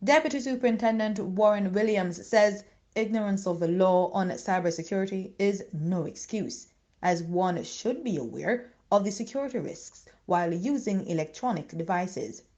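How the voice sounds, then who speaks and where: A woman's voice: mid-range voice, normal speed, dark tone. A woman narrating a scripted news report as a reporter. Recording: dull, lo-fi voice track.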